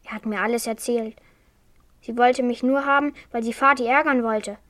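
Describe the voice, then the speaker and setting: Actress as a withdrawn young girl, highish voice, terse bitter answers, dry studio sound, radio crime drama. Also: fairly even intonation, normal speed, a bit bright, no room sound, close to an everyday voice. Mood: bitter, hurt. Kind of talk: German crime radio play acting.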